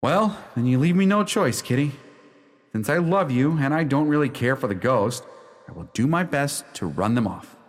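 An actor playing an exasperated, lovestruck young bridegroom in a radio play, earnest, wordy and theatrical. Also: male voice, mid-range voice, lively intonation, normal speed, lightly acted. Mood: pleading.

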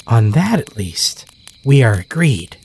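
A man voicing an eerie child spirit of the forest, solemn, measured and accusing, in a campfire horror story. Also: medium pitch, very animated, a little mellow, slight background noise. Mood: solemn agreement.

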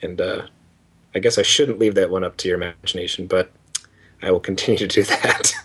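A man's voice: deep voice, fairly even intonation, brisk, fairly bright tone, slightly rough. A young man with a Canadian accent co-hosting a casual gaming podcast, laid-back and rambling. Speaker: podcast co-host. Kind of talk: casual chat.

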